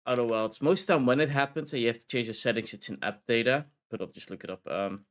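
A man's voice: mid-range voice, quick pace. A man from the Netherlands with a Dutch accent, chatting casually and rambling while streaming a video game, laughing often.

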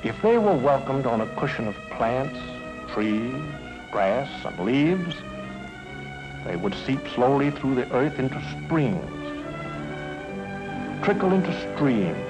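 Male American narrator: folksy, emphatic, unhurried storytelling, vintage film soundtrack with prominent score. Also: medium pitch, sing-song, low-quality recording. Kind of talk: dramatic scripted narration, mid-century educational film. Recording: old film soundtrack with music.

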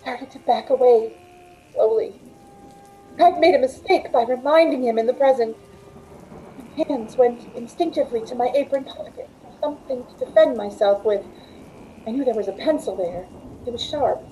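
An actress playing a frightened but quick-witted waitress, narrating an old-time radio thriller in a hesitant, breathless way. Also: female voice, a little high, warm tone, a little hiss or hum, natural voice, low-quality recording. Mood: tense, hushed narration. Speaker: narrator and lead of the play. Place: indoor room at home, on a video call.

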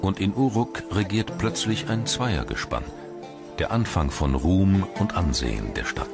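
Very low-voiced documentary narrator, male. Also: big pitch swings, unhurried. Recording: loud music under the voice. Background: loud background music.

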